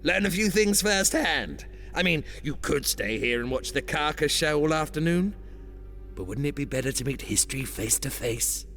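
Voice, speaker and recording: Coaxing pantomime schemer, patronising, swooping intonation, slightly high voice, audio drama, music underneath. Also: male voice, animated, normal speed, fairly bright tone.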